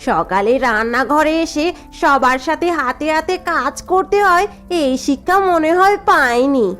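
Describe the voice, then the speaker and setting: A woman performs a snobbish elder sister-in-law in a cartoon family tale. Her voice is very high, gossipy and mocking, with faint music underneath. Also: a little slow, fairly bright tone. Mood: spiteful. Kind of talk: cartoon voice acting.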